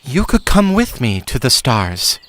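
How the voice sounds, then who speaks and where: A man's voice: medium pitch, wildly swooping pitch, unhurried, fairly bright tone. A man playing a wounded, frustrated son who yearns for love, in an audio drama. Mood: resolved.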